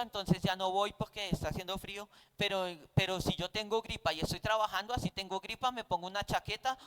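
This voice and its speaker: A man's voice: sits high, fairly bright tone, smooth. A man with a Colombian accent teaching a Bible class in a didactic, conversational way, often posing rhetorical questions.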